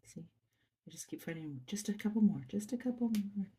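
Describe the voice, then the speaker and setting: A woman host chatting softly to viewers while doing a craft project, her nose stuffy, recorded on a phone at her workplace. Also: mid-range voice, animated, fairly quick. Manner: soft, chatty, murmuring to viewers. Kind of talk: casual chat while crafting. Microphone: phone camera.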